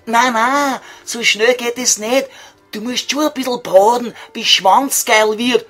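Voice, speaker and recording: A man's voice: very high-pitched, fairly bright tone, heavily acted. A man voicing a crude, scheming devil who taunts and coaxes in Viennese dialect in a comic radio play. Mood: sly coaxing. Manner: slow, sly, vulgar coaxing.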